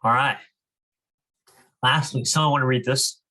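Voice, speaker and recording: Slow-paced young man leading a kids' reading club. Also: medium pitch, fairly even intonation, bright, forceful tone. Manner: informal, frequent "like" and "you know". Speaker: student reading club leader. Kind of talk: casual explaining and reading aloud to children.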